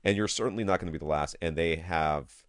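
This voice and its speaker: A man's voice: low voice, quick pace, no echo, studio quality. A man co-hosting a podcast in a casual, informal and blunt way, sometimes reading out listener questions. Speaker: podcast co-host.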